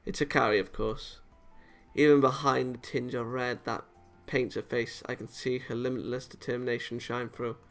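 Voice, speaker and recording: A man's voice: mid-range voice, fairly even intonation, moderate pace, a bit bright, faint music behind. A man reading a visual novel aloud dramatically with off-the-cuff reactions, sometimes tripping over words and rereading them.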